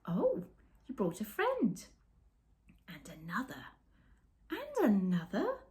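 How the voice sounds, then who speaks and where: A middle-aged woman reading a picture book aloud to children, animated and expressive, with chatty asides and hushed, dramatic phrasing. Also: mid-range voice. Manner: animated, dramatic pauses, drops into whispers. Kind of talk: expressive storytime read-aloud with asides to young viewers. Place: small room with bookshelves, indoors.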